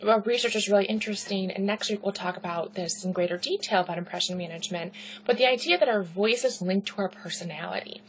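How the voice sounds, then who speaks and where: A woman instructor giving a recorded lecture, talking loudly in a pitchy, conversational way and checking in with "right?" and "okay". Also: a little hiss or hum, poor audio. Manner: loud, pitchy, conversational with rhetorical questions.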